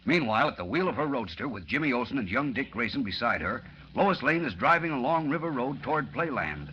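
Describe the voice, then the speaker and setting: A man's voice: medium pitch, sing-song, fairly quick. Dramatic, swooping male radio announcer. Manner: urgent, theatrical. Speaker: announcer-narrator. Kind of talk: dramatic 1940s radio serial narration. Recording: muffled old radio recording, faint noise.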